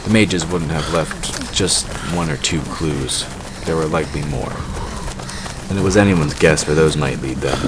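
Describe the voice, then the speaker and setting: A man's voice: very deep voice, a little slow, very noisy. A man reading scripted narration for a fantasy audio drama.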